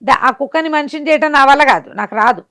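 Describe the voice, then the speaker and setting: High-voiced woman telling a folk tale. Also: expressive, fast, pushed and bright. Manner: conversational, asks and answers her own questions. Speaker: storyteller. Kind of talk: spoken folk-tale storytelling.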